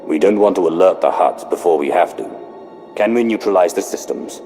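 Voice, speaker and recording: An actor playing a commanding, cold Sith lord in a video game, measured, formal and imperious. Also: male voice, low voice, dark tone, in a large hall, faint music behind. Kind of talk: video game cutscene acting.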